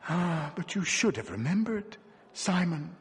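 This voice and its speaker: A man's voice: fairly high pitch, expressive, normal speed, husky. A man voicing a gaunt, weary peasant woman who laments her lot in a plaintive, dramatic way, as part of a story reading. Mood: plaintive, sorrowful. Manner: lamenting, dramatic, sighing old-fashioned speech. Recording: reverberant hall with long echo.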